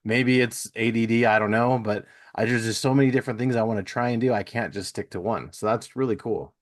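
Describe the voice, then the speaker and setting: A man's voice: mid-range voice, fairly even intonation, brisk, no room sound, studio quality. A man hosting a podcast, talking in a chatty, informal interview style. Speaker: podcast host. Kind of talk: casual conversational interview.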